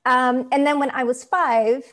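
A woman's voice: high-pitched, fairly even intonation, measured pace, fairly bright tone. A woman from Montreal whose first language is Italian, chatting casually as a podcast co-host. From Montreal, Canada. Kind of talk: casual, unscripted chat.

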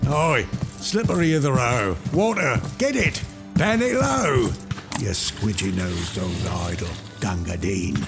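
Guy putting on a gruff old soldier, gravelly and slow, music under him. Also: mid-range voice, big pitch swings, pitched above the performer's own voice, full character voice. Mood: gruff recollection. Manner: gruff, dialect-heavy recital with bellowed calls. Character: rough old male British soldier recalling war. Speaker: audiobook narrator. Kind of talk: dramatic poetry reading.

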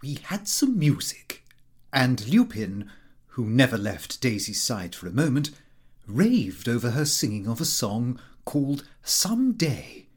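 A man's voice: medium pitch, sing-song, a little slow, slightly rough. A man reading a comic diary as scripted narration, in a prim, fussy, precise manner. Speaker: audiobook reader.

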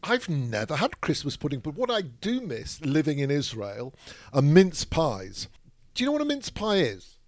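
Middle-aged Manchester man, Northern English accent, dry grumpy wit, slightly high voice, close dry sound. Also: wildly swooping pitch, normal speed, no room sound. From England. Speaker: podcast co-host. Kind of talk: casual chat.

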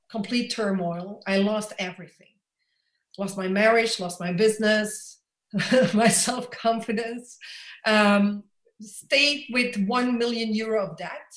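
A woman's voice: a little high, fairly bright tone. A Belgian woman whose first language is Dutch, an author and coach telling her story in a reflective interview and laughing often. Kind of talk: conversational interview. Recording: echoey room.

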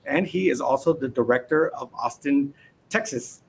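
A man's voice: mid-range voice, moderate pace, vocal fry, a little hiss or hum, rough recording. A man hosting a live interview at a convention center, introducing his guest in a friendly, informal way. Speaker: host.

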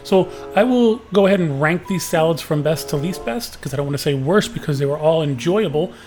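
A man with a booming, somewhat high voice hosts a podcast, recapping his day in a relaxed, chatty way. Faint music plays underneath. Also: fairly quick. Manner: chatty, easygoing storytelling. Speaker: podcast host.

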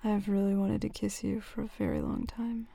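A young woman playing a shy, nervous tomboy best friend confessing a crush in an intimate audio roleplay, hesitant and halting. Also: mid-range voice, huge pitch swings, vocal fry, warm tone, slightly rough, dry recording, heavily acted. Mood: flustered, nervous.

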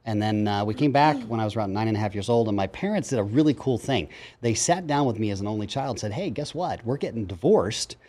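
A man in his fifties talks quickly as a guest on a chat show, his voice sitting low. He tells stories with energy and acts out what others said. The style is relaxed, conversational interview talk. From grew up in Japan and Germany. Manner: animated storyteller, acts out quotes. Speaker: guest, psychologist.

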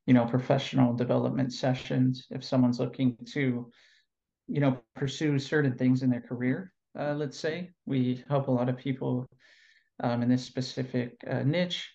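A man from California being interviewed about his work as an NLP trainer, answering in a relaxed, reflective way with lots of fillers and pauses. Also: mid-range voice, gravelly, dull audio.

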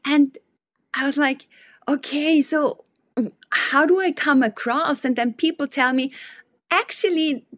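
A woman whose first language is not English, hosting an interview and speaking thoughtfully, sometimes pausing to put her sentences together. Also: high voice, fairly bright tone, dry recording. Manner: thoughtful, pauses to build sentences. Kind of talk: interview conversation.

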